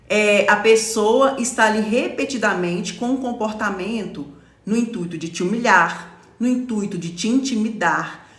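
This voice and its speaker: A woman in her forties from Brazil talks on a live stream as a lawyer explaining workplace rights. Her speech is casual and explanatory, with frequent check-ins to the viewers. The voice sits in a middle pitch and carries a noticeable echo from the room. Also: a bit bright. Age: 40s. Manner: explanatory, stops often to read comments, says "tá?". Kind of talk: live-stream talk to followers.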